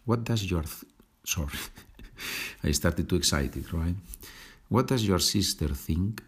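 A man from Spain with a Spanish accent, teaching a language lesson, patient and clearly enunciating, repeating phrases. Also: sits low, expressive, takes it slowly. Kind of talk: slow, repetitive language lesson.

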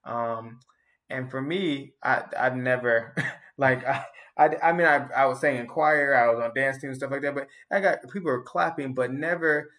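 A man's voice: medium pitch, normal speed, a bit bright. A man co-hosting a casual podcast chat, reflective and rambling, often restarting his sentences.

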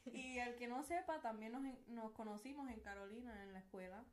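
A woman's voice: fairly high pitch, fairly quick. A woman in her twenties with a Puerto Rican Spanish accent, co-hosting a casual podcast chat in an easygoing way, laughing often. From Puerto Rico. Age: 20s. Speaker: podcast co-host.

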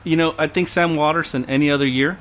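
A man's voice: fairly high pitch, steady tone, fast talker, fairly bright tone, slight background noise. A man co-hosting a casual film discussion, blunt and opinionated, often laughing. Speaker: podcast co-host.

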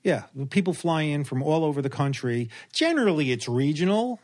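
An older man, a surgeon, explaining in casual chat, measured and often repeating words as he goes. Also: on the high side, sing-song, darkish tone. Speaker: surgeon guest.

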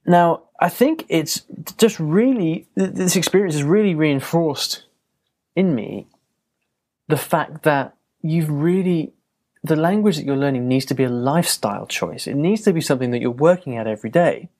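A man's voice: on the high side, animated, moderate pace, darkish tone. A man hosting a podcast, talking on his own in a reflective, loose way with frequent fillers and restarts. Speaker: podcast host. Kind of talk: casual solo podcast monologue.

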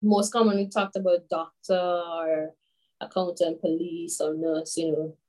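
A woman in her twenties from Grenada, a guest telling stories in a casual interview, chatty and animated with many fillers. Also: on the low side, unhurried, low-quality recording. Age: 20s. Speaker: guest, children's author.

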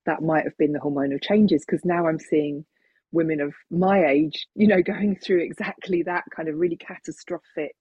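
A woman's voice: low-pitched, steady tone, normal speed, a little mellow, breathy edge, no echo. A middle-aged woman in reflective, casual conversation, speaking thoughtfully and hesitantly on a muffled online-call recording. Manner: hesitant, thoughtful, chuckles while talking.

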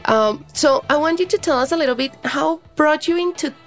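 A woman's voice: high voice, fairly quick, soft background music. A woman hosting a podcast interview in a conversational style. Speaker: podcast host.

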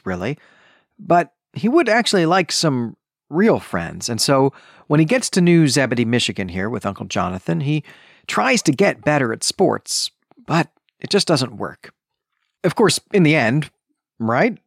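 A man's voice: mid-range voice, no room sound, clean studio sound. A man hosting a podcast, talking through a book in a conversational, emphatic way and often checking in with the listener. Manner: emphatic, checks in with rhetorical "right?". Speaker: podcast host. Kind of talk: conversational book discussion and plot recap.

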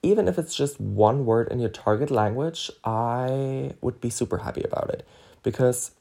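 A man's voice: mid-range voice, normal speed, vocal fry, dark tone. A man with a German accent, whose first language is German, hosting a casual solo podcast in a rambling way, with lots of "so, yeah" and "like". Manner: rambling, frequent fillers like "so, yeah" and "like". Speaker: podcast host.

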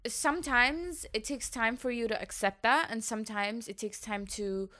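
Earnest, reflective woman, fairly high voice. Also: moderate pace, bright, forceful tone. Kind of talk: casual chat.

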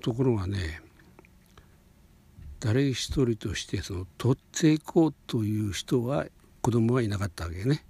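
An old man in his eighties tells his recollections at a very slow, halting pace. His voice is extremely husky and rough, with a gravelly creak. He wanders gently from one thought to the next, unscripted. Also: lowish voice, lively intonation. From Hokuriku, Japan. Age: 80s. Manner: halting, meandering, with sentence-final softeners. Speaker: storyteller recounting memories. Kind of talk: unscripted personal reminiscence.

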